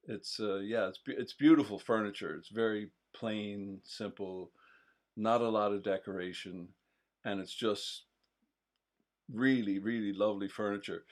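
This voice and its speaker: A man co-hosting a relaxed live stream, his voice sitting a little low. He talks unhurriedly and drifts through his points with fillers and restarts. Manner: rambling, hesitant, many fillers and restarts. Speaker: co-host of a local history stream. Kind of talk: casual chat.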